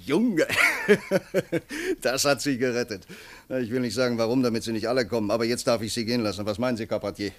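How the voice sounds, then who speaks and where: A man plays a brisk army commandant in a radio play. He fires off his words quickly in a mid-pitched voice, commanding and shrewd, with a wry, lenient streak. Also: animated, close to an everyday voice. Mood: amused, commanding. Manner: brisk, commanding interrogator, wryly amused. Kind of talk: German radio play acting.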